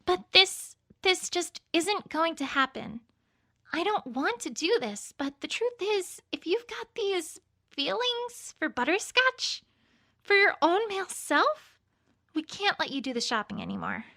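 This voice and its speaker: A woman's voice: very high voice, very animated, measured pace, vocal fry, bright, forceful tone, exaggerated voice. A woman voicing an earnest, bossy, studious unicorn mare with an insistent, worried manner, in a scripted story reading. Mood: firm, regretful. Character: earnest, bossy studious female unicorn pony, anxious leader.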